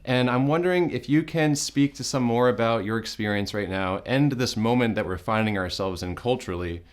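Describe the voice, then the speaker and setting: A man hosting a studio interview show, asking measured questions in a welcoming manner. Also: mid-range voice. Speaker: host.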